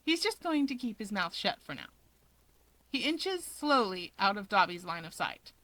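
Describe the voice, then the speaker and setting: A woman narrating fan fiction in an expressive audiobook style. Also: mid-range voice, normal speed, no room sound, rough recording.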